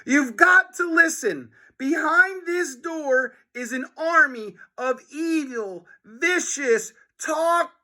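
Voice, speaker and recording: A man's voice: very high-pitched, slow, few pauses, bright, forceful tone, pitched above the performer's own voice, full character voice. A man voicing frantic, pleading schoolboys in trouble from a children's storybook, exclaiming as he reads. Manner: stammering, exclaiming. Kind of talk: children's storybook character reading.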